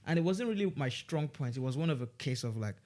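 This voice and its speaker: A young man with a Nigerian accent talks casually as a guest on a studio podcast. He rambles and restarts his sentences, in a mid-pitched voice. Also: expressive, fast, a little mellow. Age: young adult. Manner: rambling, many restarts and fillers. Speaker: student guest.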